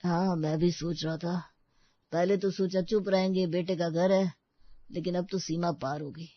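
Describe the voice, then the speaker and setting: A woman voicing a gentle, long-suffering old grandfather in a storybook tale, mild and reassuring. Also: fairly low pitch, steady tone, normal speed, no room sound, lo-fi sound, lightly acted. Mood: resigned, resolute. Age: older.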